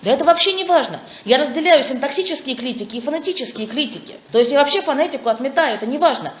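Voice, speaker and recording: A fairly high-voiced woman presents research at a conference, talking with eager enthusiasm and little exclamations of delight. Her voice rings around an echoey room. Faint background noise sits under it. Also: lively intonation, fast talker. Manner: enthusiastic, exclaims over findings. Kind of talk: academic talk with Q&A.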